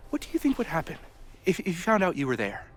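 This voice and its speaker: A man's voice: fairly high pitch, huge pitch swings, fast talker, fairly bright tone. A man playing a harried, wry university society president in a video game, theatrical and sarcastic in an exasperated way. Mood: sarcastic, angry. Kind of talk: naturalistic video game acting.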